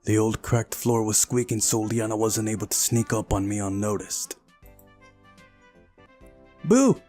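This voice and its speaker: A man with a fairly low voice hosts a game playthrough. He reads story narration aloud and slips into casual commentary. Light music sits behind his voice. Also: level tone, normal speed, darkish tone. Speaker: let's play host. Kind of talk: visual novel read-aloud with casual commentary.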